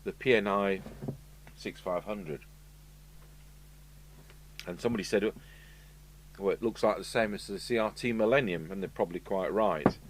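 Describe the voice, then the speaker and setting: An English man with a fairly low voice chats through a hands-on demonstration. He is unscripted and rambling, often wandering into asides. A light hum and some noise sit behind him. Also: moderate pace, long pauses. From United Kingdom. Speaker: video host and radio repairer. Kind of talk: casual unscripted talk to camera while demonstrating. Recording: steady hum and background noise.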